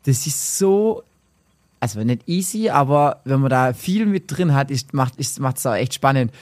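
Man, swabian accent, podcast host, strained voice, slangy, energetic, dry close sound. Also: mid-range voice, moderate pace, no echo. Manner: energetic, laughs often, slangy. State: strained voice after a busy weekend. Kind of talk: casual chat.

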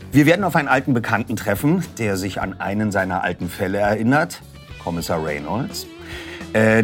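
A man presenting a podcast in a conversational style, as its host. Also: medium pitch.

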